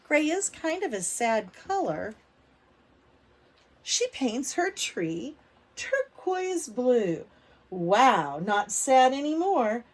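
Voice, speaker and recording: Expressive, unhurried woman reading to preschoolers. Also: fairly high pitch. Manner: expressive, drawn-out emphasis, child-directed. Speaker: read-aloud storyteller for preschoolers. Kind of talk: expressive picture book reading with questions to young children.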